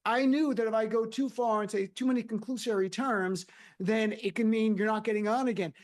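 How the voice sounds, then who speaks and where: A man's voice: sits high, steady tone, fairly quick, a little mellow. A man speaking bluntly and conversationally as a guest on a political panel. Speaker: guest commentator.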